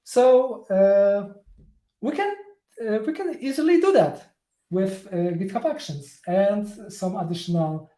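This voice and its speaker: Polish-accented male tech presenter, slow and halting, filler-heavy delivery, mellow voice, roomy echo. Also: high voice, expressive. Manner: halting, many fillers and restarts. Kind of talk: live coding talk.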